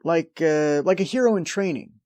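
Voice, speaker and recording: Guy hosting a recap show, wry and sarcastic, slightly high voice, very dry sound. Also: normal speed, dark tone, no room sound. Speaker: review show host. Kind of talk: scripted comedic recap narration.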